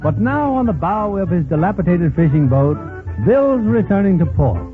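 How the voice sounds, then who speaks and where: A man delivering measured, mock-dramatic scripted narration as a 1940s radio announcer. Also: high voice, sing-song. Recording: tinny old radio recording with music.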